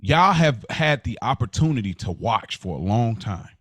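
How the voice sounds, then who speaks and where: A man's voice: medium pitch, big pitch swings, moderate pace, breathy edge, no room sound. A man co-hosting a podcast in casual chat, repeating phrases as he argues his point with examples. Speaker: podcast co-host.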